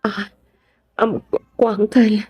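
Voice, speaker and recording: A woman's voice: medium pitch, flat delivery, slow, darkish tone, husky. A woman with a Filipino accent playing a hardworking, worried wife and mother in a radio drama. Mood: humble, grateful.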